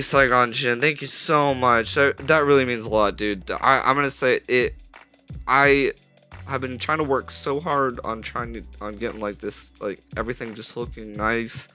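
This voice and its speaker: A man streaming live as he builds a game model, chatting casually and rambling with constant self-corrections, his voice a little stuffy through a headset mic. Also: mid-range voice. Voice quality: slightly stuffy. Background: soft jazz music playing.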